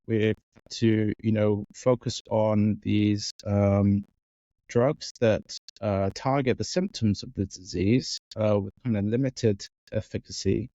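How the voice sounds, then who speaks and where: A man's voice: fairly low pitch, dark tone, no room sound. A man in his forties from Wales, a neuroscientist answering interview questions thoughtfully, with many fillers and restarts. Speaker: guest neuroscientist. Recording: dull lo-fi audio.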